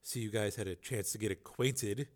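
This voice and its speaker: A man voicing a tough, dry-witted showgirl who talks low and quietly. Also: normal speed, close to an everyday voice. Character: dry, tough-talking older showgirl, woman.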